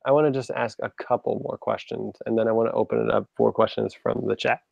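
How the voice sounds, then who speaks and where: A young man hosting a casual interview over a video call from his room at home, chatty and quick to laugh. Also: mid-range voice, fairly even intonation, vocal fry, slightly rough, no echo, muffled recording. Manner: chatty, laughs easily, asks rambling questions. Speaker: host. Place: home room with a shelf of collectibles. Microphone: video call webcam.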